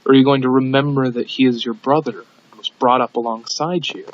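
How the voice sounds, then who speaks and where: A man's voice: medium pitch, fairly even intonation, brisk, slight background noise. A man reading a scripted audiobook in an amateur narration style, on a dull lo-fi recording. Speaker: audiobook reader.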